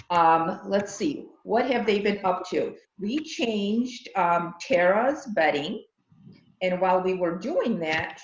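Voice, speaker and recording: A woman with a medium-pitched voice chats to a class, giving a simple update. Her call is very roomy and a little dull, with faint noise behind it. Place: echoey room on a video call. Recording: echoey, muffled video call, faint noise.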